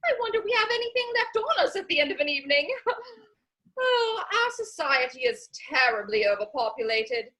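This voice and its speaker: A woman's voice: sits high, sing-song, brisk, bright, forceful tone, full character voice. A woman playing a chatty, scatterbrained Victorian society matron in a gushing, rambling, theatrical comedy reading. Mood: gossipy, airy. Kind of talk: stage comedy dramatic reading.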